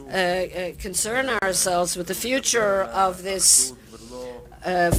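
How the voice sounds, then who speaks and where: A woman interpreting a conference interview live from a booth, speaking slowly and in measured, halting phrases. The murmur of a large audience comes through clearly behind her, and her voice sits in the middle of the usual range. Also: medium pitch, poor audio. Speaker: simultaneous interpreter.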